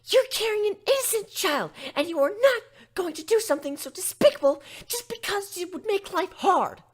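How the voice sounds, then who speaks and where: A woman's voice: very high-pitched, animated, moderate pace, voice pushed up. A woman voicing a small female pony turned stern and commanding in a dramatic story reading. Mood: fierce, commanding. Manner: commanding, fierce. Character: stern, forceful little female pony, usually shy. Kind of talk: fan fiction dramatic reading.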